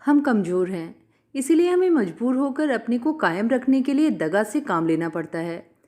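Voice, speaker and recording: Woman reading as a stern, zealous male cleric, animated. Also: fairly high pitch, normal speed. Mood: self-justifying. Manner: commanding, self-justifying, preachy.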